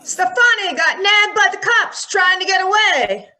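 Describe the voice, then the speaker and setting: A woman playing an excited newspaper reporter in an old-time radio drama, with an urgent, questioning manner. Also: very high voice, unhurried, heavily acted.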